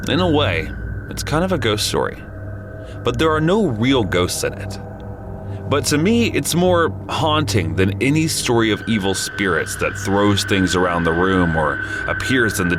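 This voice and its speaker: Deep, animated male storyteller over noise. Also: a little slow. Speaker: horror story narrator. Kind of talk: scripted first-person story narration.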